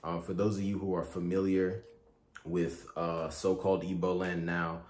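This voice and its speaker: A young man from Igboland hosting a casual spoken tutorial, talking in a relaxed, rambling way with many fillers and self-corrections. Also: low-pitched, normal speed. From Igboland, Nigeria. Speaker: spiritual tutorial host.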